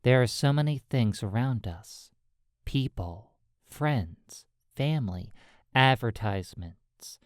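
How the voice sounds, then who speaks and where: A meditation guide narrating in measured, deliberate short phrases with pauses between them. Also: medium pitch, a little mellow, no echo, studio quality.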